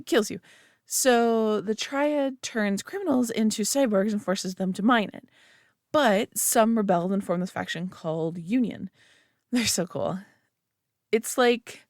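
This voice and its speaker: A woman's voice: medium pitch, no room sound, studio quality. A woman playing a giddy nerdy friend rambling. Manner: rambling, eager, full of "like" and giggles. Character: chatty young woman, nerdy friend gushing about a hobby. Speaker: voice actor in an audio roleplay. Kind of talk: scripted roleplay monologue to the listener.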